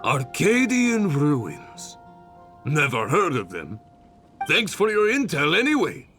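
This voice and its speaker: A man voicing a friendly middle-aged guild clerk in a video game, hearty and drawn-out. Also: a little high, huge pitch swings, music in the background, exaggerated voice.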